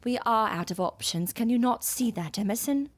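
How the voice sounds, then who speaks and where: A woman's voice: a little high, expressive, moderate pace. Woman acting a weary, formal lady scientist. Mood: despairing. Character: weary, disillusioned female doctor of science. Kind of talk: period audio drama acting.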